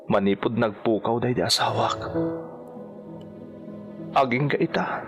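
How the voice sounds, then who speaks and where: An actor with a Filipino accent playing a grieving husband in an emotional, pleading radio drama performance. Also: male voice, medium pitch, slow. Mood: anguished, pleading. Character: anguished, grieving husband of a missing wife. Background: music.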